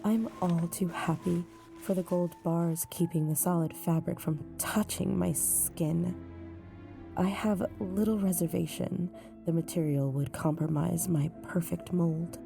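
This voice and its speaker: A woman giving measured, brooding first-person narration in an audio drama. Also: lowish voice, vocal fry. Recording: music bed behind.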